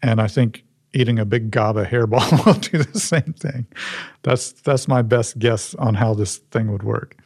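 A man, showing and explaining a museum object in a halting, restarting way. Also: mid-range voice, darkish tone, slightly rough, dry recording, studio quality.

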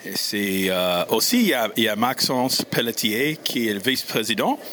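A man from the United States with an American accent, a native English speaker hosting casually, halting and repeating words as he searches for phrasing. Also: lowish voice, animated, bright, forceful tone, slight background noise. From United States, Missouri. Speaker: show host and interviewer. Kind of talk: casual on-location introduction and interview.

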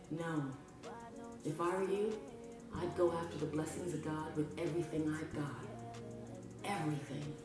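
A woman from Canada hosting a podcast, speaking in an earnest, emphatic, preacher-like way as she delivers a motivational spiritual monologue. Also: deep voice. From Canada, with family from Jamaica. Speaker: podcast host. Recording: roomy echo, distant mic, music behind. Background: music.

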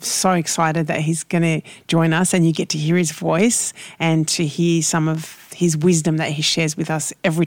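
A woman hosting a podcast, talking in an effusive, praising, warm way. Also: low-pitched, no room sound. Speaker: podcast host. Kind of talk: casual podcast chat.

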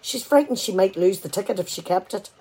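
An actress playing an exasperated, sarcastic wife in a radio play comedy, talkative and full of indignant questions. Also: female voice, medium pitch, lively intonation, a little fast, mellow, husky, poor audio. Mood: explaining.

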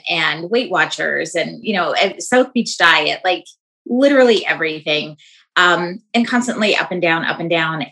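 A woman's voice: fairly low pitch, sharp tone, rough recording. A woman in her thirties, a wellness coach guest, talking casually and chattily, with lots of fillers. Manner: chatty, full of fillers, quick bursts. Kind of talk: casual interview chat.

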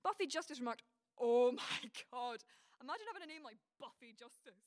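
A woman with a Scottish Dumfries accent acting in an audio play as a brash, gossipy, blunt friend with a soft side. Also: sits high, animated, a little fast. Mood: chatty. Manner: chatty, blunt, sweary. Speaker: community cast actor. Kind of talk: community audio drama, colloquial dialogue.

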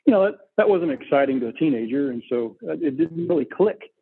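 A man's voice: a little high, expressive, a little fast, gravelly, a little hiss or hum. A middle-aged man from Texas, telling his story casually as an interview guest over a remote call. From Texas, United States. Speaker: interview guest, business owner. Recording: muffled, tinny phone-like connection.

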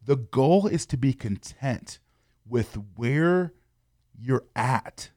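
Guy hosting a podcast, earnest and encouraging, talks straight to y'all, mid pitch, dry close mic. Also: lively intonation, extremely slow, warm tone, no room sound. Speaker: podcast host. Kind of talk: motivational podcast talk.

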